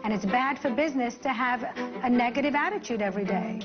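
High-voiced woman coaching a workshop. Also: quick pace. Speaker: relationship therapist and seminar leader. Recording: dull lo-fi TV clips with music.

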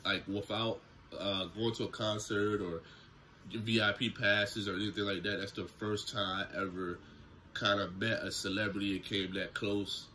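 A young man telling a story to camera in a relaxed, slangy way from a small room at home. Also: deep voice, slight background noise. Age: young adult. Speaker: YouTuber. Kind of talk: casual story time vlog. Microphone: camera close in front, seated.

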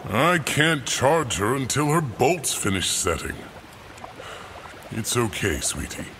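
An actor playing a doting, hopeful scientist father in an audio drama, measured and earnest. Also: medium pitch, very animated, noisy background. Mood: reassuring. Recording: music under the voice.

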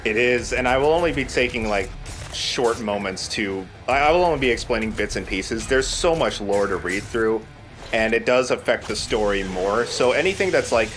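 A man's voice: on the low side, a bit bright. A man hosting a let's play, talking casually as he comments on a video game.

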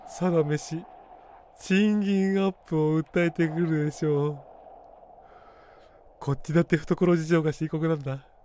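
A man's voice: on the high side, steady tone, measured pace, pauses often, mellow, voice pushed up, natural voice. A man voicing a haughty, miserly middle-aged woman who leads a cat circus, genteel and easily offended, in a comic radio drama. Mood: aggrieved. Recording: noisy background ambience.

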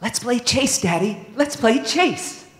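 A man's voice: extremely high pitch, expressive, fairly bright tone, voice pushed up, full character voice. Theatrical rodent father in an echoey hall , acted by a man. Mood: tender, pleading. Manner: theatrical, deliberate, solemn. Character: tender, proud, aging father rodent addressing his pup. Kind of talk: dramatic poetry reading. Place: stage in a large hall with audience. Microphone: microphone on a stand. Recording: echoey hall, stage microphone.

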